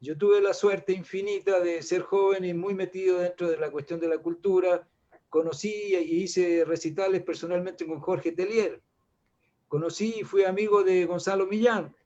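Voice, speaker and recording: A man's voice: high voice, dark tone, muffled recording. An older Chilean man talking in a reflective, rambling way, with many restarts and fillers. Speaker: guest artist. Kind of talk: casual panel discussion.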